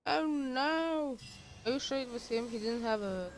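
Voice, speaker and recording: Slow-talking woman game streamer. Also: high-pitched, a little hiss or hum. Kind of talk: casual gameplay commentary.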